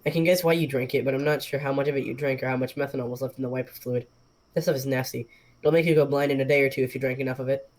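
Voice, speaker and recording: A man voicing a calm, wise male snake that talks slowly and matter-of-factly. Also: medium pitch, close to an everyday voice, rough recording. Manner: slow, measured, matter-of-fact.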